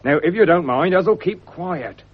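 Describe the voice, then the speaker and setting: An actor playing a deferential, plucky country trapper with a husky voice and an English rural accent in a 1950s British radio drama. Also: male voice, high voice, animated, unhurried, heavily acted. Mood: practical, eager. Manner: respectful rustic talk. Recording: muffled vintage radio recording.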